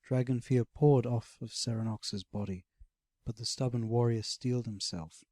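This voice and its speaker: A man narrating a scripted fantasy audiobook as a dramatic, expressive storyteller. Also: mid-range voice, moderate pace, dry recording, clean studio sound.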